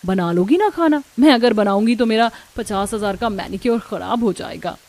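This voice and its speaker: A woman's voice: mid-range voice, moderate pace, a little mellow, close to an everyday voice. A woman voicing a pampered, smug, entitled young daughter-in-law in a cartoon family drama. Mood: breezy, smug.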